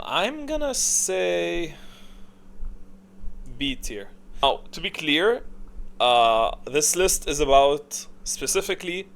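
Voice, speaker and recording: A man hosting a gaming tier list in a casual, rambling way, hesitating and correcting himself as he goes. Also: mid-range voice. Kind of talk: casual, rambling opinion commentary.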